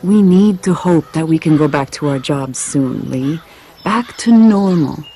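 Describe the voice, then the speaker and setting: A kind, weary mother in a game drama. Her voice is fairly low and mellow, her delivery soft and reflective. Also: expressive, a little slow, soft background music. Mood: wistful. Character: gentle, weary mother and veterinarian, adult woman. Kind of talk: naturalistic video game acting.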